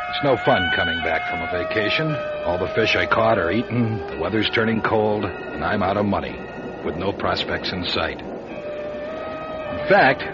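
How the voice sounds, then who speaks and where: Hard-boiled male private eye, American accent, lowish voice, swinging intonation, wry, world-weary, radio play. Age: middle-aged. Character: hard-luck, weary, tough 1940s male private investigator. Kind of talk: radio drama acting with first-person noir narration. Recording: muffled, noisy radio drama recording.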